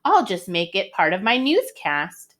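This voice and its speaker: A woman's voice: mid-range voice, sing-song, a little slow, fairly bright tone. A woman voicing a confident, breezy male TV weather forecaster in a children's storybook, with an assured announcing manner. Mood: breezy confidence.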